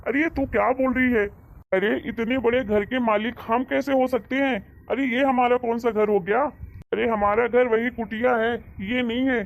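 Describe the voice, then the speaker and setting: A man voicing an anxious, strict father in a cartoon moral story, with an emphatic, admonishing manner. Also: extremely high pitch. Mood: bewildered. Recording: thin, phone-like narrow sound.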